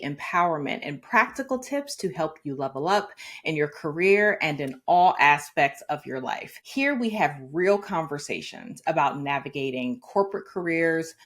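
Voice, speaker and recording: A woman hosts a career podcast with a low voice and an upbeat, polished delivery. She leans on key words with emphasis as she introduces her guest and asks her questions. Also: lively intonation, normal speed, hardly any pauses. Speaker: podcast host. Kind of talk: scripted intro then interview questions.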